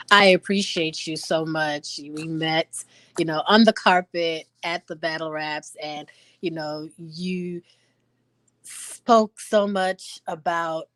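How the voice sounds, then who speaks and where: A woman's voice: fairly low pitch, fairly bright tone, no room sound. A woman hosting an interview, appreciative of her guest and leaning on "you know" fillers. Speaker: host.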